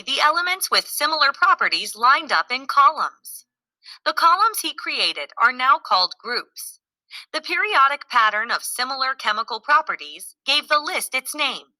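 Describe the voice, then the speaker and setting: Bright, expressive woman narrating a lesson. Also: on the high side, no echo, rough recording. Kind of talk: scripted educational narration, reading aloud.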